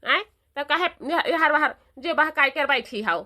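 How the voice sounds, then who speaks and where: Woman playing a bright, high-voiced old village mother-in-law. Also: unhurried. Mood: kindly instructing. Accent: eastern Uttar Pradesh. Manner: questioning, repetitive, instructing. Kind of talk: cartoon dialogue.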